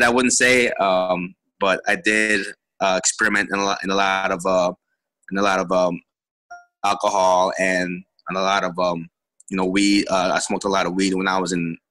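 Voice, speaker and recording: A man in his twenties from Chicago, telling his story as an interview guest, halting with many ums and restarts. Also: low-pitched, sharp tone, dry recording, low-quality recording. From Chicago, USA.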